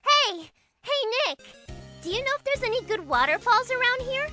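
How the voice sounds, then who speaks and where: A woman's voice: very high voice, very animated, moderate pace, over music. A woman playing a perky, naive teenage girl assistant in an audio drama, bubbly and exclamatory. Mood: bubbly, eager. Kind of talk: fan audio drama acting.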